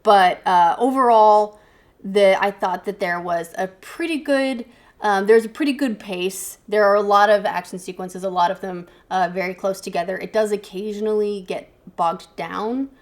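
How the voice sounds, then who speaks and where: A woman's voice: medium pitch, normal speed. A woman giving her take as a panelist in a casual film review chat, blunt and casually profane.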